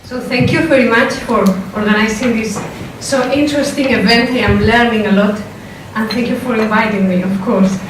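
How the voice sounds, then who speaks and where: A woman's voice: a little high, steady tone, over music. Spanish-accented woman lecturing in an echoey hall. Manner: careful, measured delivery with hesitant fillers when speaking freely. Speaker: philosopher giving a workshop talk. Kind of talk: academic lecture, partly read from a script. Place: lecture room. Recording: echoey lecture hall, mic from a distance, noisy.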